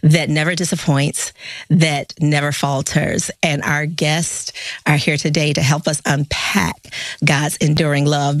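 Low-voiced woman hosting, dry studio. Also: no echo. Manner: warm, encouraging interviewer, laughs along. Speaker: guest host. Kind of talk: podcast interview hosting.

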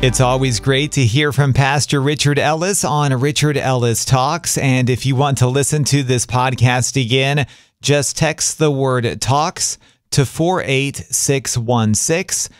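A male radio host with a clean, smooth voice presents program links. The sound is dry and close, at a medium pitch. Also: unhurried, no room sound.

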